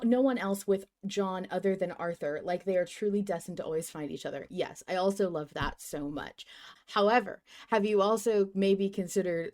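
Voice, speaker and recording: A woman's voice: mid-range voice, a little mellow. A woman hosting a podcast in a casual, effusive, rambling fan-chat style. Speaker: podcast host.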